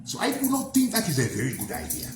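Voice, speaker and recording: A man voicing a wary grown male crocodile who speaks in a slow, deliberate, reasoning way. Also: high-pitched, huge pitch swings, voice pushed up. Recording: roomy echo with background music.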